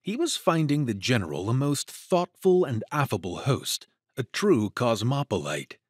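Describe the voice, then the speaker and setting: A man with a husky voice narrating a scripted dramatic story. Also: mid-range voice, big pitch swings, a little slow, no room sound, studio quality.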